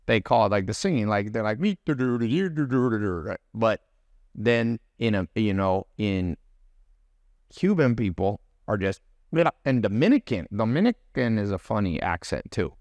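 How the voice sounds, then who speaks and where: A man in casual conversation, telling lively stories and mimicking accents and friends' phrasing. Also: on the low side, normal speed, dry recording. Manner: animated storyteller, mimics accents. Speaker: podcast guest, business mentor. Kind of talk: casual chat.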